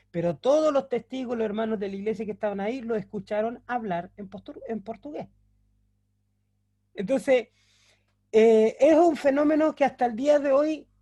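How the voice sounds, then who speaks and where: A man's voice: high-pitched, darkish tone. A man teaching a Bible class, explaining things patiently with frequent fillers and self-corrections, and asking the listeners rhetorical questions to check they follow. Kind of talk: recorded class lecture.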